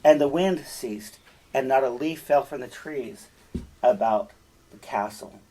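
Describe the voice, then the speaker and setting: A man with a husky voice, speaking as a teacher in an emphatic, deliberate storytelling style, checking in with listeners. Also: medium pitch, warm tone, poor audio. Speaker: teacher reading and explaining a fairytale. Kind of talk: storytelling read-aloud with explanatory asides.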